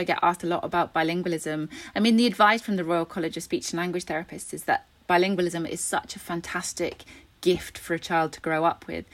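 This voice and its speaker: Lower-voiced woman explaining. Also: fairly bright tone. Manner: explanatory, informative, earnest. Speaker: speech and language therapist guest. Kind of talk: conversational podcast interview.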